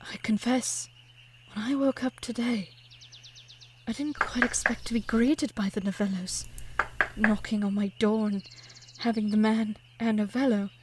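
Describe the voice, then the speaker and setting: A woman's voice: on the high side, steady tone, a little slow, background noise, natural voice. A woman playing an older, devoted, grieving widow of a composer, telling her story formally and wistfully in a period audio drama. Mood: tender reverence.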